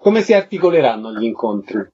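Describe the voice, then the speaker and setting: A man's voice: mid-range voice, sing-song, fast, warm tone, breathy edge. A man hosting a radio interview, courteously putting short questions and making announcements. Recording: slightly dull, lo-fi radio sound.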